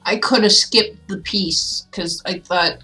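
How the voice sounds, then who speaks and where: A woman's voice: mid-range voice, takes it slowly, music in the background, poor audio. A woman from Canada giving casual, wry and sarcastic commentary as she streams a game.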